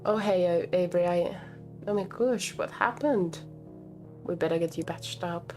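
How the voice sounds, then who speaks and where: A woman's voice: fairly low pitch, moderate pace, a little mellow, soft background music, natural voice. Woman reading as a concerned, fussing cafe coworker. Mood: worried, fussing. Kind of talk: visual novel dialogue read aloud.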